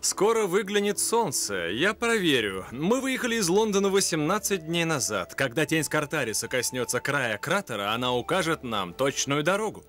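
A man voicing a brave, courteous gentleman lion who leads an expedition in a dubbed cartoon. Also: on the high side, sing-song, moderate pace, few pauses. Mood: confident. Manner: decisive, courteous.

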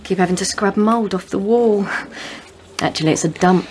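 A woman acting in a radio drama as a brash, sharp-tongued, evasive young shopgirl. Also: medium pitch, moderate pace, slight background noise, natural voice. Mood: breezy, evasive. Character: brash young shopgirl covering for her boyfriend.

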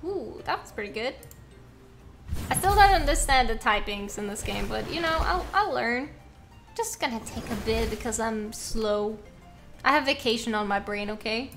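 A woman's voice: fairly high pitch, lively intonation, moderate pace, fairly bright tone, soft background music. A young woman from Norway, a native Norwegian speaker, chatting casually in English with her viewers while streaming a game, laughing often and answering chat. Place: home room at a desk.

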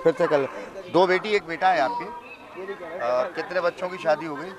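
A man's voice: on the high side, unhurried. A middle-aged man hosting a playful street interview, bantering and repeating answers back. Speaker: show host interviewing fairgoers. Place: outdoor fairground in a crowd. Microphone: handheld interview microphone. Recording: outdoor crowd noise.

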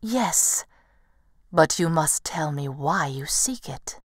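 A woman voicing a wise, radiant lady of the dawn in a storybook tale, gentle, measured and solemn. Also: low voice, animated, dry recording, clean studio sound, pitched below the performer's own voice, full character voice. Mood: serene.

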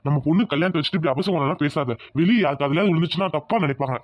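A woman voicing a gentle village farmer, a husband and father who keeps reassuring his wife. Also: low-pitched, rapid-fire. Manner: soothing, reassuring.

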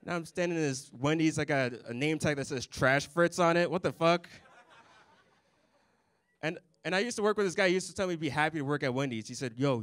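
A young man delivers a stand-up set into a handheld microphone in a comedy club. His voice sits a little high and comes through smooth and clear. The sound is close and dry, and he keeps his delivery deadpan. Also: no room sound. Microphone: handheld mic.

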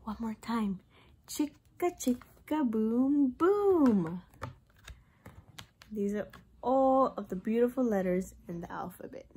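A woman's voice: on the high side, expressive, takes it slowly, long pauses, warm tone, husky. A young woman, a preschool teacher, reads a picture book aloud in a sing-song way for young children. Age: young adult.

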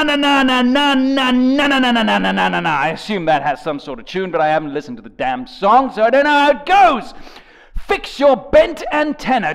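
A young man reading song lyrics as a theatrical dramatic performance, jumping between shouted lines and low mutters with a gravelly edge. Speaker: comedic dramatic reader of rap lyrics. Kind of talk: over-the-top dramatic reading.

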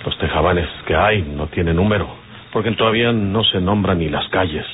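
Man playing a deep-voiced Mexican outlaw on the run. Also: normal speed, close to an everyday voice. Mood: tense, explaining. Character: wary male outlaw on the run from police. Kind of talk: old Mexican radio serial acting. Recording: noisy, tinny old radio serial recording.

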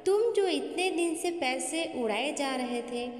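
A woman storyteller voicing a stern old farmer father with a firm, deliberate, admonishing manner. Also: high voice, animated. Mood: gently admonishing. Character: stern old farmer father, teaching his lazy son. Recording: echoey, long reverb on the voice.

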